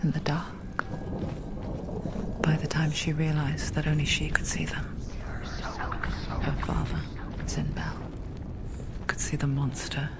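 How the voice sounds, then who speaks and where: An actress voicing a confiding, intimate narrator in a video game, deliberate and breathy. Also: female voice, low voice, completely flat delivery, in a whisper. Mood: hushed, somber. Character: hushed, intimate female narrator voice in a troubled mind. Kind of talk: whispered dramatic narration.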